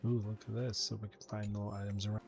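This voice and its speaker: A man's voice: fairly low pitch, little pitch movement, fast talker. A man hosting a gaming channel, giving casual commentary as he plays. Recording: faint game music behind the voice.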